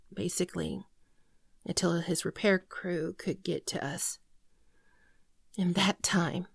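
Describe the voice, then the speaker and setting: Girl doing a sad gentle alien runaway for asmr, soft n near whispery, bit of fry, super dry close sound. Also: fairly low pitch, no room sound. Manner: earnest, halting, confessional. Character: gentle female shapeshifter alien, wounded fugitive, sorrowful. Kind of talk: ASMR roleplay monologue, scripted.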